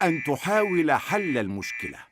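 An actor voicing a calm adult mentor giving patient advice in a cartoon, over loud noise. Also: mid-range voice, a little slow. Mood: calm, instructive. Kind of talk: cartoon dialogue. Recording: very noisy cartoon clip audio.

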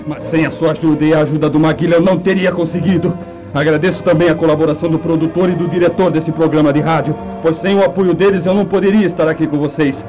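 A grandiose mad scientist played by a man declaims in a high voice. He is pompous and excitable, in a comic radio play with faint music underneath. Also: quick pace, lightly acted. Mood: self-important.

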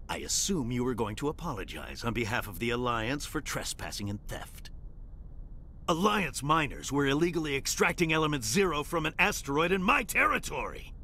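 An actor playing a pompous, hot-tempered crime warlord who styles himself a lord, with haughty, theatrical delivery in a video game. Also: male voice, on the high side, pushed and bright, slight background noise, full character voice. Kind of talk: dramatic video game voice acting.

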